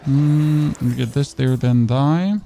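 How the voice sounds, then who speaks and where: A man's voice: mid-range voice, slow, vocal fry, mellow. A man streaming a game in casual chat, rambling and answering chat questions on a dynamic studio microphone. His first language is German.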